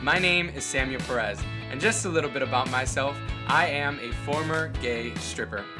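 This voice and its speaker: A man's voice: medium pitch, moderate pace, over music. An American man hosting a podcast, chatty and upbeat, introducing his show and interviewing a guest. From United States. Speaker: podcast host.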